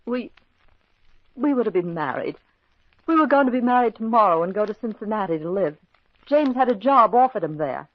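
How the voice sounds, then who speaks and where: A woman's voice: medium pitch, animated, brisk, pauses often, no room sound, close to an everyday voice. An actress playing a grieving young woman in a 1940s radio drama, earnest and pleading. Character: grieving young woman, a murdered man's fiancée. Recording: muffled old radio broadcast.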